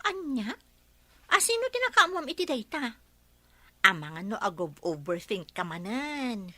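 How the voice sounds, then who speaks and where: A woman's voice: a little high, big pitch swings, unhurried, sharp tone, dry recording, exaggerated voice. A woman acting an outspoken, indignant, disapproving friend in a radio drama. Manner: exclamatory, disapproving.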